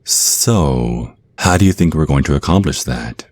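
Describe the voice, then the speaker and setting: A man's voice: very deep voice, animated, measured pace, a little mellow, no room sound, exaggerated voice. A man voicing a menacing, centuries-old vampire naga in an ASMR roleplay, drawling mock-sweet threats. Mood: calculating.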